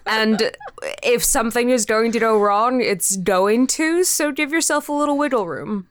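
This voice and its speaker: A woman's voice: a little high, moderate pace, clean tone, no echo. A woman speaking casually and frankly as a guest creator in a podcast interview.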